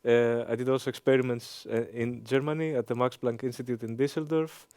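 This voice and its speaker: A man in his thirties with a Greek accent, answering interview questions with many hesitant pauses and fillers. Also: medium pitch, fairly even intonation, mellow, clear, resonant tone, no echo. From Greece. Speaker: interview guest, engineering professor.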